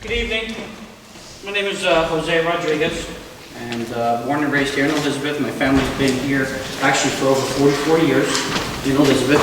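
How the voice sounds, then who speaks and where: A man's voice: on the high side, a little fast. A man in his thirties, a teacher running for school board, giving an earnest, somewhat hesitant speech. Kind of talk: candidate speech. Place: large hall at a debate. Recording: echoey hall from far off, noisy.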